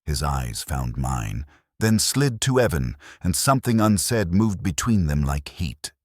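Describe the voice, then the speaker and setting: A man with a gravelly, husky voice, narrating a scripted detective story in a wry noir manner. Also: deep voice, sing-song, measured pace, no room sound, clean studio sound. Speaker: audiobook narrator.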